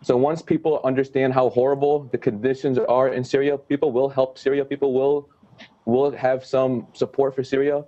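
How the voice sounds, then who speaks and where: A man's voice: mid-range voice, little pitch movement, normal speed, warm tone, dull audio. A young man, a student guest, answering earnestly and repeating words as he searches for them. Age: young adult. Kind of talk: casual interview answers.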